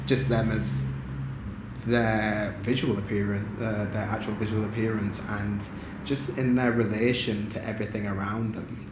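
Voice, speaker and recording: A man from Huddersfield, chatting casually as an interview guest with lots of fillers. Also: fairly low pitch. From Huddersfield, England. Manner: relaxed, rambling, lots of fillers and "do you know what I mean". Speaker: photographer guest. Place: studio room. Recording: echoey room with clear background noise.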